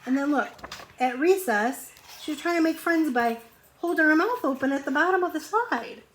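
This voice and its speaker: A woman's voice: high voice, lively intonation, moderate pace, slight background noise. A woman teacher reading a storybook aloud to young children, expressively, with conversational asides and questions.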